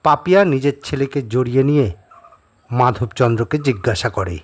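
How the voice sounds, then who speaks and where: A man narrates a scripted horror story. His voice is mid-pitched and very husky. The reading is dramatic, with animated swings in pitch. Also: normal speed.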